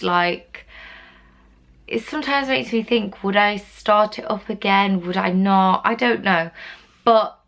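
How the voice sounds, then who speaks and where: A young woman from Britain with a croaky voice, chatting casually to her camera at home and rambling with lots of likes and ums. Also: mid-range voice, a bit bright. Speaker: YouTuber.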